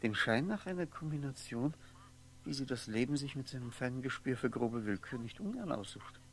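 An actor in a 1960s German radio play, playing a lonely, evasive, brooding man with a hesitant, halting delivery. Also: mid-range voice, animated, a bit bright, slight background noise, close to an everyday voice. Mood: bitterly brooding. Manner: hesitant, halting, self-questioning.

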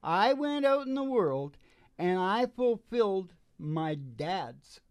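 A man's voice: high-pitched, sing-song, takes it slowly, clear, resonant tone, no room sound, studio quality. A middle-aged man chatting casually and emphatically, repeating phrases and checking in with "you know.". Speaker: host and singer-guitarist of a music podcast. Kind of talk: rambling casual chat. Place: small curtained indoor stage. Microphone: stand microphone, close. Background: acoustic guitar.

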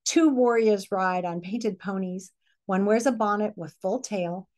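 A middle-aged woman with an American accent reads a picture book aloud, expressively, pausing to put questions to young listeners like a teacher. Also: mid-range voice, a little mellow. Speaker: read-aloud presenter. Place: living room, indoors.

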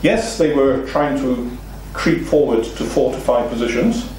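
A man's voice: mid-range voice, animated, unhurried, a little mellow. A middle-aged man with a Welsh accent delivers a seminar lecture, explaining points steadily and throwing in rhetorical questions. His voice is caught by a distant mic in a very echoey meeting room. A faint hum of room noise sits underneath. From Wales. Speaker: seminar speaker. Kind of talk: illustrated lecture to a seated audience. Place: meeting room with a seated audience. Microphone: far room recording, no mic seen.

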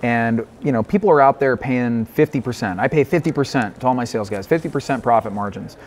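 A man's voice: mid-range voice, quick pace, a little mellow, slight background noise. A man in his thirties, a business owner talking informally in an interview and repeating phrases for emphasis. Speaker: roofing company co-owner being interviewed. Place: large hotel-style lounge, indoors. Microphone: lapel mic.

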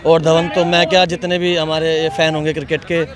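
A man's voice: a little high, flat delivery, a little fast. Young man, emphatic fan opinion, noisy crowd behind. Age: young adult. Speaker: interviewee. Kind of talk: casual opinion. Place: outdoor sports ground. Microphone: handheld mic.

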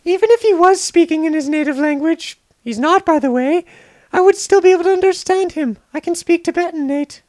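A woman's voice: very high-pitched, a little fast, a little mellow, dry recording. A woman voicing an anxious, exasperated young woman in a parody game dub.

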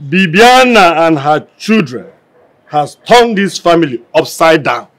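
A man's voice: a little high, sing-song, measured pace, a bit bright. An actor with a Nigerian accent playing a fed-up, self-important older family man who complains in declamations. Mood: exasperated. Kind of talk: Nollywood film acting.

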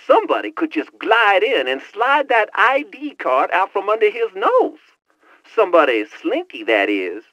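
A man's voice: high-pitched, wildly swooping pitch, normal speed, bright, forceful tone, no room sound, lo-fi sound, exaggerated voice. An actor playing a boastful young male hacker who talks in teasing street slang over a headset in a video game. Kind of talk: video game cutscene voice acting.

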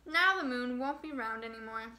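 An eager little girl mouse from a storybook, exclaiming over everything in a high, bright voice, as a woman reads her aloud. She sounds excited and a little breathless with wonder. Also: moderate pace. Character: small excitable female mouse.

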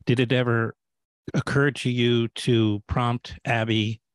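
A middle-aged man hosts a casual interview in a halting way, breaking off and restarting his questions. His pitch is average, and the sound is dry, picked up on a mic in a small room. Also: level tone, no room sound. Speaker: interview host. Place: small book-lined home room, indoors. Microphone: studio mic on boom arm.

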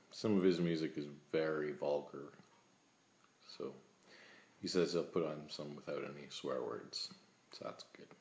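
A man in his thirties playing a naive, milk-loving vegetarian in a comedic webcam monologue, rambling earnestly and awkwardly at a table indoors. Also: sits low. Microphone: laptop webcam, close. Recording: echoey room sound.

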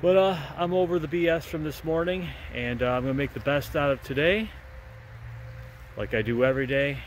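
A man's voice: fairly high pitch, a little fast, slight background noise. Easygoing bearded trucker vlogging from his cab. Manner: easygoing, rambling, with many "uh" fillers. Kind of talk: casual vlog chat to camera. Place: inside a truck cab and outdoors in winter. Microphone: handheld or dash camera at arm's length.

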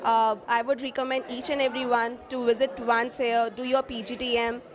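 A woman's voice: fairly high pitch, level tone. Earnest young woman, crowd behind. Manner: earnest, pausing with fillers. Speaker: alumna giving a testimonial. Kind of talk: short spoken testimonial. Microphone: handheld microphone.

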